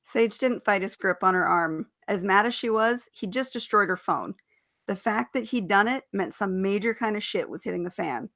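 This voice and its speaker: A woman narrates fiction in an expressive reading style. Her voice has a strong creak and gravelly fry to it. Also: medium pitch, moderate pace. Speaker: author reading aloud.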